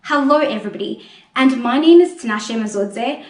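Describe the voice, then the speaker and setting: A chatty woman, telling her story in an upbeat way. Her voice sits a bit higher than most, and the room around her gives it a roomy echo. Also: normal speed. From South Africa. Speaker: English teacher. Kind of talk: personal storytelling.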